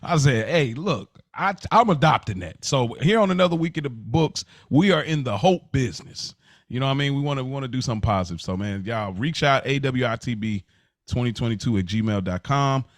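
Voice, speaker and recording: A man's voice: mid-range voice, animated, a little fast, no echo, clean studio sound. An American man co-hosting a podcast, talking casually and earnestly in informal, slangy language. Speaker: podcast co-host.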